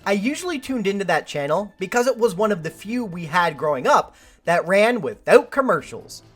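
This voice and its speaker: A woman's voice: mid-range voice, big pitch swings, moderate pace, slightly rough, faint music behind. A woman with a Canadian accent hosting a scripted film video essay, talking playfully and directly to the viewer. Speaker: video host and film commentator. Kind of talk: scripted video essay narration with chatty asides.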